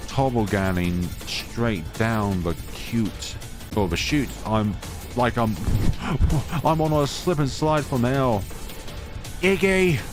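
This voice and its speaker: A man reading a visual novel aloud in a theatrical, expressive way, mixing dramatic narration with casual commentary. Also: mid-range voice. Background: game music behind the voice.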